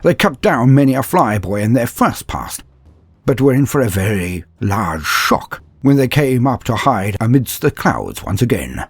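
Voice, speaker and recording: A man's voice: medium pitch, big pitch swings, unhurried, husky, no room sound, faint music behind. A man narrating a comedic fan story in a grandiose, mock-heroic manner with dry asides.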